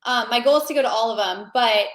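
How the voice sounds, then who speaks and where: A woman's voice: fairly high pitch, fast talker, echoey room. A woman guest chatting casually on a podcast, rambling with fillers and restarts.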